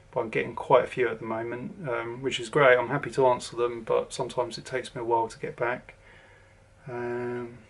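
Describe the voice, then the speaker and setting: Flat-voiced southern English man rambling. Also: mid-range voice, vocal fry. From southern England. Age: 40s. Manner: rambling, lots of ums and restarts. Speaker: vlogger. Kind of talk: casual to-camera update.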